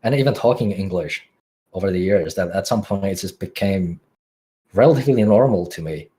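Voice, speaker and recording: A man with a Finnish accent talks through his ideas as a guest on a podcast. His voice sits very low, and his answers come in reflective, halting phrases full of fillers and restarts. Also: mellow. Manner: musing, searching for words with many fillers and restarts. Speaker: podcast guest, music producer.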